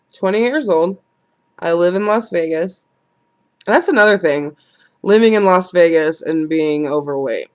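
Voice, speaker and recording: A stuffed-up, nasal young woman, talking straight to camera in a loose, rambling vlog. She is blunt and profane, and she laughs at herself as she goes. Her pitch sits in an ordinary middle range. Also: smooth. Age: late 20s. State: congested, nasal from a cold. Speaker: vlogger. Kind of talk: casual vlog monologue.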